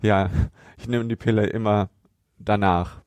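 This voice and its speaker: Younger man, lowish voice, chatting. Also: steady tone, a little slow, darkish tone, clear, resonant tone, no room sound. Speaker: podcast co-host. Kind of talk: casual chat.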